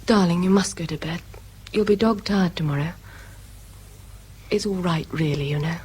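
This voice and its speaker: An actress playing a loving, frank, long-suffering girlfriend in an intimate radio drama, often hushed. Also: on the low side, moderate pace, a little hiss or hum, lightly acted. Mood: tender, pleading.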